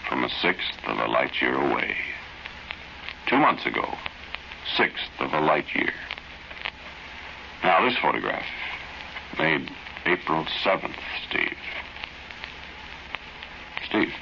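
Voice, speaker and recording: An actor playing an intense, obsessed man, halting and insistent as he delivers ominous warnings in an old-time radio horror play. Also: a bass voice, big pitch swings, gravelly, pushed and bright, slight background noise, full character voice. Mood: ominous, fatalistic. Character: intense, obsessed man announcing an alien invasion. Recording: muffled old radio broadcast with music.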